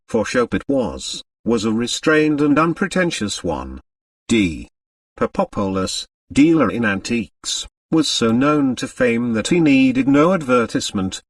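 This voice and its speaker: Expressive male audiobook narrator, dry sound. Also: mid-range voice, no echo, far from the mic. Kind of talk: scripted dramatic narration.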